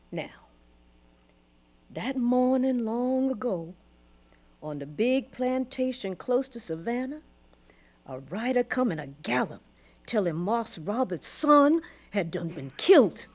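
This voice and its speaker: A woman's voice: mid-range voice, big pitch swings, slow, pauses often, exaggerated voice. An actress playing a weary, proud old Southern grandmother who was once enslaved, telling her story in heartfelt folksy dialect for a radio drama.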